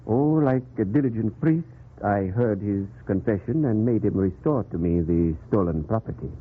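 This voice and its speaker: An actor playing a kindly, steadfast priest in an earnest 1940s radio drama manner, measured and declamatory. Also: male voice, lowish voice, animated, breathy edge. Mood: pleased, teasing. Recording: tinny, noisy 1940s radio recording.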